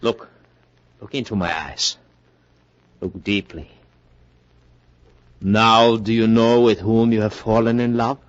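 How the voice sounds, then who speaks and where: An actor playing the Devil as a suave, mocking, menacing lover in a 1940s radio melodrama. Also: male voice, lowish voice, steady tone. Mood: hypnotic menace. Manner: slow, drawn-out, menacing, mocking delivery. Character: the Devil as a suave, sneering, gloating male lover. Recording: dull vintage 1940s radio recording.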